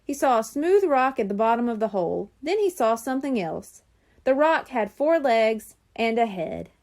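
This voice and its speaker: A woman reading a children's chapter book aloud in an expressive storybook style. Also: a little high, moderate pace.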